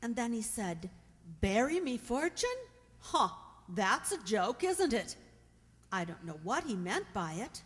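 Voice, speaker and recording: A woman's voice: on the high side, sing-song, a little slow. A woman playing a forlorn young abandoned bride in a radio drama, plaintive and resigned. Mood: wistful, puzzled. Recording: reverberant hall sound.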